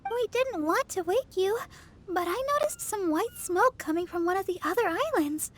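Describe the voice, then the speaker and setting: A woman's voice: very high voice, normal speed. A woman voicing a sweet, caring teenage girl in a video game, kindly, earnest and encouraging. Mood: cheerful.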